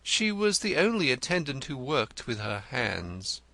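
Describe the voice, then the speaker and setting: A vain, effusive poet in a storybook reading, as a male narrator puts him on, gushing in a high voice that leaps up and down. He is florid and stammers when pressed, with a bright tone. The sound is dry and close. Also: sing-song, measured pace, no echo, exaggerated voice. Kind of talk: audiobook character reading.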